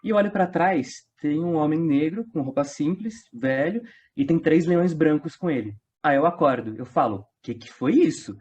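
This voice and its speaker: A man's voice: on the high side, lively intonation, moderate pace, few pauses, darkish tone, breathy edge. A man telling a story casually as an interview guest, slangy and conversational. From São Paulo state, Brazil. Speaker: interview guest, audio drama creator. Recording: dull lo-fi online interview audio.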